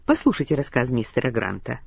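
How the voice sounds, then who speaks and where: A woman's voice: very deep voice, wildly swooping pitch, quick pace, a little mellow, no room sound. A woman narrating and giving instructions in a language course. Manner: clear, instructing. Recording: dull lo-fi audio with faint music.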